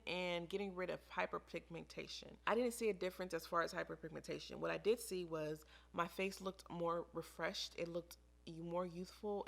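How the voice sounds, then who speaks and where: A woman's voice: fairly low pitch, creaky. An American woman chatting casually to camera as a beauty vlogger, in an informal, emphatic way that repeats phrases for emphasis. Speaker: beauty vlogger reviewing products.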